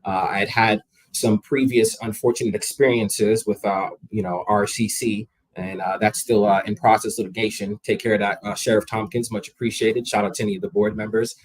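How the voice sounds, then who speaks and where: A man's voice: on the low side, little pitch movement, a little fast, breathy edge, low-quality recording. A young man of Jamaican heritage, a guest in a casual interview, talking energetically and conversationally, often repeating his words as he starts a sentence.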